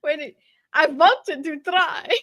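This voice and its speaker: A woman's voice: high voice, slow, bright, forceful tone. A woman in casual, playful banter as a panelist on a livestream.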